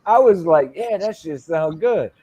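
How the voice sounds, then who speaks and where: Obsada: mężczyzna po czterdziestce, swobodny gawędziarz, dość wysoki głos, dużo śmiechu i przekleństw. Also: male voice, a little high, animated, measured pace, warm tone, slightly rough, muffled recording. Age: 40s. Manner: loose, laughing storyteller, swears freely. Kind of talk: casual chat.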